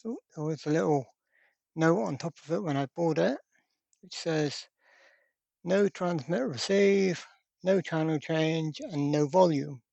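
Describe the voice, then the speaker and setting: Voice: mid-range voice, a little slow, creaky, darkish tone, no echo, highs cut off. A presenter giving a casual workbench repair demonstration, thinking aloud step by step in an informal way. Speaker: electronics repair hobbyist presenter.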